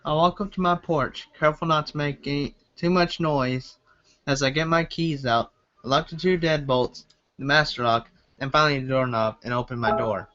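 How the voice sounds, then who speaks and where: A man doing an amateur reading of a story aloud, a little halting as he goes. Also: a little high, steady tone, bright, forceful tone. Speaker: amateur fan fiction reader. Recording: dull lo-fi home recording.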